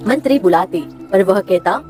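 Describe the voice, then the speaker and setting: A woman's voice: medium pitch, lively intonation, unhurried, over music. A woman narrating a scripted story in a dramatic storytelling style.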